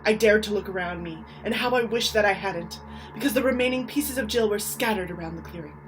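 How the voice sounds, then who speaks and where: A woman narrates a scary short story with theatrical suspense. Her pitch is medium, and music plays clearly behind the reading. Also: fairly quick, low-quality recording. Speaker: author reading their own short story. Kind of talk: dramatic scripted narration of a horror story.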